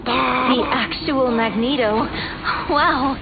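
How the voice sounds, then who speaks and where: A woman's voice: high voice, drawn-out words. A woman voicing a cheeky, confident young hero in a video game. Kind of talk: casual game character dialogue. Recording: muffled game capture with noise.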